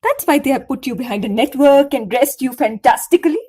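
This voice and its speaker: Woman acting a bold young heroine in an audio play, high voice, earnest and expressive. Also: fairly quick, husky. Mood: defiant. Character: Fearless, tender, defiant young woman who sings.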